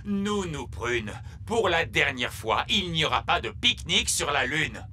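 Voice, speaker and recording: Elf mission commander, French cartoon: self-important, by-the-book, extremely animated delivery, noisy track. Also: male voice, on the high side, a little slow, heavily acted. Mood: stern. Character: officious, serious male elf mission commander.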